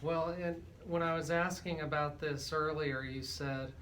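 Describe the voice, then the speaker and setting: A man's voice: on the high side, fairly even intonation, unhurried, fairly bright tone. A man hosting a radio talk show in a conversational interviewing style. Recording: faint background noise.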